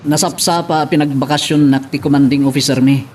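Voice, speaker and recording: A man's voice: on the high side, steady tone, brisk, a little mellow, natural voice. A man playing a devoted, tender young soldier groom in a radio drama, with a Filipino accent. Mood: eager. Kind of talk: Ilocano radio drama acting. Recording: faint background noise.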